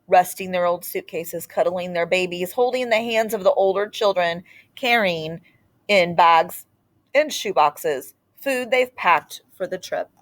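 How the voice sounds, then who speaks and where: Woman reading a picture book aloud, unhurried. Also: lowish voice. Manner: unhurried, short deliberate phrases with dramatic pauses. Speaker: read-aloud storyteller. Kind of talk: expressive picture-book read-aloud with brief asides.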